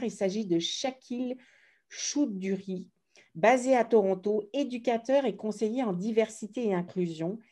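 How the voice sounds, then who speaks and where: A woman from France answering interview questions as a columnist, thoughtful and pausing often to find her words. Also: medium pitch, mellow. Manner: thoughtful, with frequent hesitations.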